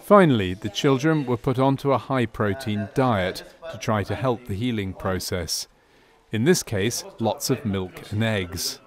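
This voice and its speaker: A man narrates a medical training film in a fairly low voice. His delivery is scripted documentary narration, explaining things as a narrator would. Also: unhurried.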